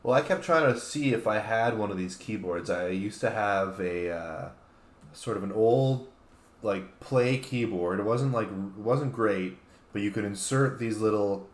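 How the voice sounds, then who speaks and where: Male co-host, fairly low pitch, casual, musing, hesitant, echoey room. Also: measured pace. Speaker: talk show co-host. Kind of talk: casual panel chat. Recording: roomy, echoing sound.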